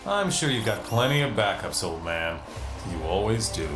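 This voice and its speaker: A sarcastic, self-assured video game superhero. His delivery is glib and lively in pitch. The sound is roomy, with music underneath. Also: normal speed. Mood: needling. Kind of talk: video game cutscene acting. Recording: echoey room, loud music behind.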